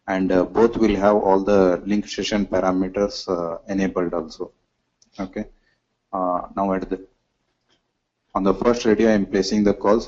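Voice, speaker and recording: A man giving a halting, step-by-step software demonstration as a product demonstrator in a webinar. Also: low voice. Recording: dull lo-fi webinar audio.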